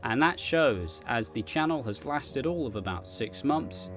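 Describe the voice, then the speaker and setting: Man, mid-pitched voice, casual rambling streamer chat, giggly, self-deprecating, sweary, music behind. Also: expressive, a little slow. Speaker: host, streamer and content creator. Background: background music.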